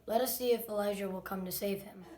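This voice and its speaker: A woman playing a derisive bystander at the crucifixion in a dramatic scripture reading, with a creaky voice. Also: mid-range voice, fairly even intonation, fast, dark tone, lightly acted. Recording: echoey room, faint background noise.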